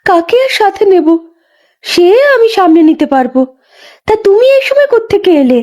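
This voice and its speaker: A woman's voice: extremely high pitch, very animated, moderate pace, darkish tone. A woman voicing a friendly, sympathetic young pregnant village woman, chatting familiarly in a horror story drama. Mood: chatty, unworried.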